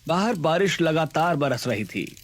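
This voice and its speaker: A man's voice: a little high, sing-song, unhurried. A man reading scripted storybook narration for a Hindi cartoon tale. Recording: background music under voice.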